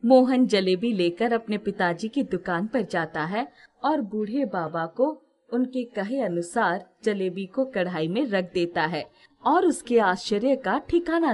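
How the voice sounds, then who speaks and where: A woman's voice: medium pitch, darkish tone. A woman narrating a scripted moral story as its storyteller.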